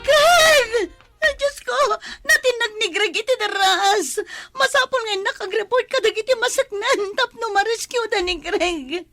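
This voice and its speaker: A woman's voice: very high voice, measured pace, sharp tone, no room sound, studio quality, soft background music, heavily acted. A woman with an Ilocano accent playing a distraught young daughter pleading with her father in a radio drama, tearful and urgent. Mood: panicked, crying.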